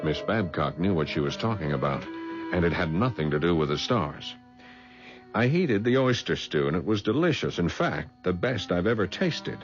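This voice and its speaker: A dry-voiced private eye narrating. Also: male voice, low-pitched, big pitch swings. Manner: dry, measured first-person narration. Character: wry private detective narrating undercover. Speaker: narrator and lead. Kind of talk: 1970s radio drama narration and acting. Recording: muffled 1970s radio broadcast with music.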